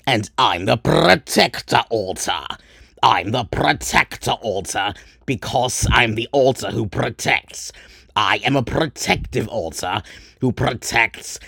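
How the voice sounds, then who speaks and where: A man's voice: mid-range voice, vocal fry, fairly bright tone, breathy edge, no room sound, clean studio sound, full character voice. A man voicing a proud, literal-minded protector in a comedy sketch, declaiming emphatically and repeating himself.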